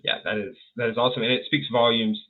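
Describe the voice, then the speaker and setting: Husky young host on tinny audio. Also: male voice, mid-range voice, steady tone, quick pace. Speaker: podcast host. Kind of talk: casual interview questions. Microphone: headset microphone. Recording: tinny phone-like call audio.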